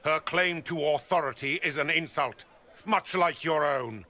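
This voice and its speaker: An actor playing a cold, haughty lord commander who speaks slowly and with contempt in a game cutscene. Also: male voice, a little high, sharp tone. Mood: contemptuous. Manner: slow, contemptuous.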